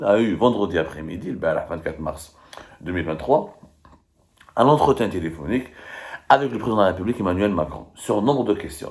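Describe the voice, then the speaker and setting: A man with an Algerian accent giving live political commentary in an emphatic, argumentative and repetitive way. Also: lowish voice. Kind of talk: live political commentary, reading and dissecting statements.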